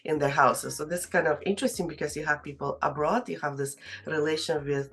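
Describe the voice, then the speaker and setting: Low gravelly woman with a Russian accent. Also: fairly even intonation, fast talker, a bit bright, soft background music. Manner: hesitant, many fillers, broken English phrasing. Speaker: real estate agent. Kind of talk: casual interview.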